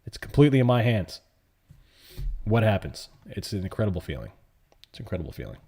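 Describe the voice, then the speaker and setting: Low, mellow-voiced man chatting casually. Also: sing-song, fast, husky. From born in New York City, raised in the US South. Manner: rambling, conversational, answers chat questions as they come in. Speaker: livestream host and options trader. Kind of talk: casual live-stream chat.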